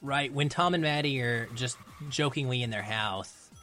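A man's voice: mid-range voice, normal speed, pushed and bright, soft background music. A man giving emphatic, opinionated film commentary in a casual podcast conversation.

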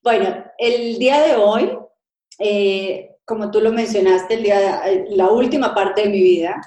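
Colombian-born lady chatting bout her work, acts out convos, mellow tone, kinda roomy sound. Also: mid-range voice, a little slow. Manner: lively storytelling, acts out dialogues. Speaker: guest, cake designer. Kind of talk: conversational interview.

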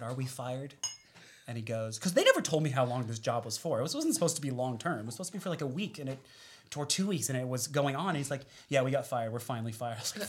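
A man's voice: medium pitch, husky. A man telling a rambling, laughing story about his first job in casual podcast chat. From United States. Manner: rambling, laughing self-deprecation. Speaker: storyteller guest.

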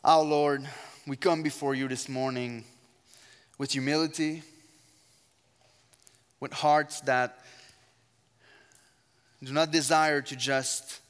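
A man's voice: mid-range voice, fairly even intonation, takes it slowly, long pauses, a bit bright, clean tone. A man with a strong French accent, whose first language is French, preaching a sermon in a church and praying fervently with earnest emphasis. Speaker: guest preacher. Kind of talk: sermon with opening prayer. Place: church sanctuary. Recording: long hall reverb of a church.

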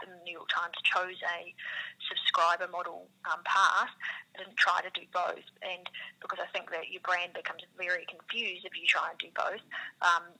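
A woman's voice: fairly low pitch, sharp tone, low-quality recording. A woman with a New Zealand accent, answering interview questions as a business co-founder in a thoughtful, circling way full of "you know".

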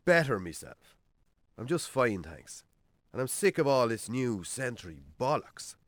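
An actor playing a defensive, hard-drinking Irish bachelor farmer in a naturalistic radio drama, colloquial and dryly sarcastic. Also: male voice, mid-range voice, big pitch swings, a little slow, fairly bright tone, no echo, studio quality. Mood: bitter, sarcastic.

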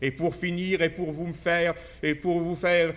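A man giving a formal address at a writers' congress, telling a parable in a measured way and restarting phrases as he goes. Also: a little high, little pitch movement, fairly bright tone. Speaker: conference speaker. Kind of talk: formal speech at a podium.

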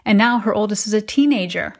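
A woman's voice: medium pitch, fast, vocal fry. A middle-aged woman with an American accent, narrating a personal podcast as its host from a prepared script. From United States.

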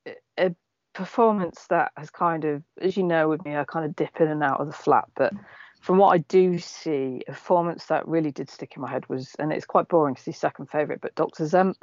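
A woman's voice: low voice, fairly quick, darkish tone, no echo, lo-fi sound. A woman giving racing tips on a panel, hesitant, with many ums and little hedges. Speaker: panel tipster. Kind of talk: casual panel chat.

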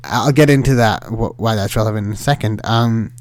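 A young man from Sri Lanka telling a story in casual conversation, animated and rambling, with many fillers and restarts. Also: on the low side, a little fast, no room sound.

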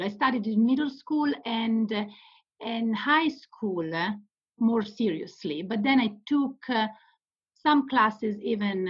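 A woman's voice: a little high, slightly rough, dull audio. A woman with an Italian accent, a guest on an interview podcast, answering questions with frequent fillers and restarts. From Italy. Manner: hesitant, many fillers and restarts.

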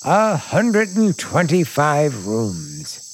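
Casting: coaxing husband with secrets for vintage radio suspense, very slow folksy drawl, widely varied intonation, quietly ominous and insistent. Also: male voice, fairly high pitch, wildly swooping pitch, a little hiss or hum, exaggerated voice. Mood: flatly proud. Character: sinister, coaxing New England bridegroom with secrets. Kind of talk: old-time suspense radio drama acting.

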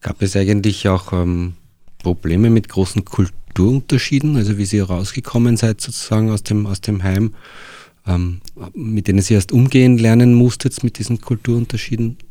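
A male interviewer asks his questions in a hesitant way, with fillers. His voice is mellow and fairly low. The sound is dry and close. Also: moderate pace, dry recording.

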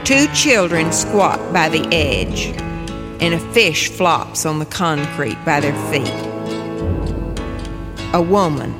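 A narrator reading a scripted spoken-word story in terse, measured phrases. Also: medium pitch, animated. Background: music under the voice.

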